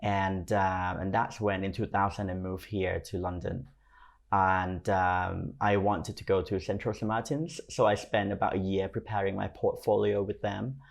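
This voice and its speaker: Male podcast guest, Thai accent, lower-pitched, talking through personal anecdotes in a conversational way. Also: flat delivery, moderate pace, creaky. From Thailand. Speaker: podcast guest, fashion stylist and creative consultant. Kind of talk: conversational interview storytelling.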